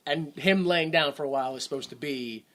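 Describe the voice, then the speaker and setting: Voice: mid-range voice, a little fast, a bit bright. A podcast co-host in casual movie-review chat, rambling and sarcastic, often breaking off mid-sentence. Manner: rambling, self-interrupting, sarcastic.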